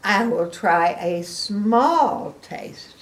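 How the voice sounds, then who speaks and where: Woman as anxious elephant, very slow and halting. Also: medium pitch, lively intonation, reverberant, a little hiss or hum. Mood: hesitant. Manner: halting, drawn-out, emphatic. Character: anxious, reluctant, kindly male elephant friend. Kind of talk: animated children's picture-book read-aloud.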